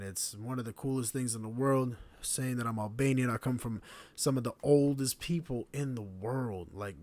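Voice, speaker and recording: A man, hosting a podcast in a relaxed, proud monologue to camera. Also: mid-range voice, dry recording. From born in Slovenia, raised in Connecticut. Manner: relaxed, proud, repetitive phrasing. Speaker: podcast host. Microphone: camera mic.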